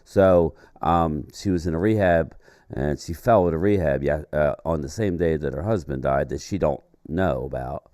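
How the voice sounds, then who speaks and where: A man co-hosting a casual livestream chat, with a deep voice made raspy by a chest cold, talking haltingly with many fillers. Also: warm tone, no echo, clean studio sound. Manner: halting, frequent fillers and restarts. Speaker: co-host.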